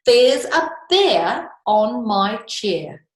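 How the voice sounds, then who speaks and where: New Zealand woman reading stories, very lively pitch. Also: drawn-out words. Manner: questioning asides to young listeners, chuckling. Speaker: children's storytime reader. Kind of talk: picture-book read-aloud with chatty asides. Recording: echoey room.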